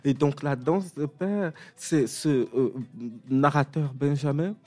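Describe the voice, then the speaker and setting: A man in his late thirties from Cameroon, a novelist answering interview questions in a relaxed, hesitant way with many fillers and restarts. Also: on the high side, slow pace. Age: late 30s. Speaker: author guest.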